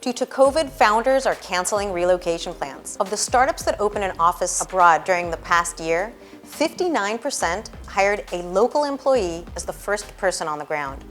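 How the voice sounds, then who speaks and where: A woman's voice: mid-range voice, expressive, normal speed, a bit bright. A woman giving informative, scripted findings. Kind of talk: scripted insight delivery. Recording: faint music underneath.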